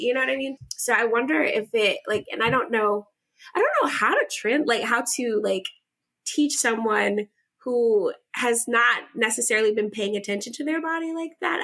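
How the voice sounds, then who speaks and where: Chatty young woman, bright voice. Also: on the high side, creaky. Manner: chatty, fills speech with "like". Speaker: podcast guest, actor. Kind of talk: casual conversational interview.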